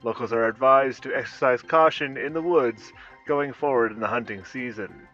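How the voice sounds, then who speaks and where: A man acts out a solemn male news anchor in a horror audio drama. The delivery is formal and measured, coming through dull, lo-fi broadcast sound with music underneath. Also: medium pitch, a bit bright, natural voice. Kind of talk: scripted news bulletin acting.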